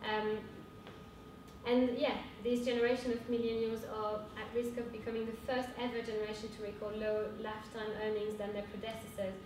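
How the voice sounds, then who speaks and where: A young woman with a French accent giving an earnest conference talk, pausing often with fillers. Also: flat delivery. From France. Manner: earnest, hesitant with frequent fillers. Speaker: cooperative speaker. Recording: echoey hall from far off with rumble.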